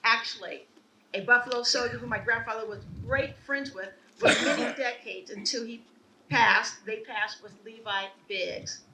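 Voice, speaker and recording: Woman giving a ceremony speech, earnest and measured, bright voice, kinda far from the mic, faint background noise. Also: on the high side. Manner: earnest, measured delivery of a family history. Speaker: ceremony speaker. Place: outdoor ceremony.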